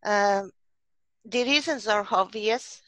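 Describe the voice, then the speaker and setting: A woman's voice: mid-range voice, takes it slowly, a bit bright, no room sound. A middle-aged woman from Afghanistan, a writer and poet, speaking in a video-call interview through a headset mic with many hesitant fillers.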